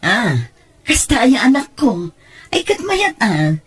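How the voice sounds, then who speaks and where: A woman with an Ilocano accent playing an encouraging, devoted mother in a radio drama, with a husky voice. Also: fairly high pitch, huge pitch swings, takes it slowly, exaggerated voice.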